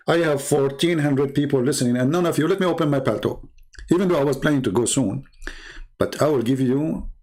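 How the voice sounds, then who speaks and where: A man with an Arabic accent hosts a livestream. He taunts and challenges his viewers. His voice swings high when he scoffs, and he often breaks into short laughs. His pitch sits in the middle for a man. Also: darkish tone. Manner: mocking, challenging, often raising his voice sharply. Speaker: livestream debate host.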